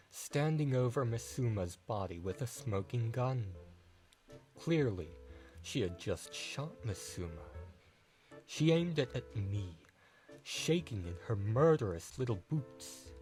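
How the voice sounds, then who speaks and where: Man acting smug, suave low-voiced gentleman, unhurried. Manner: suave, taunting, grandiloquent. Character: smug, theatrical male writer and false witness. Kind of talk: audio drama acting.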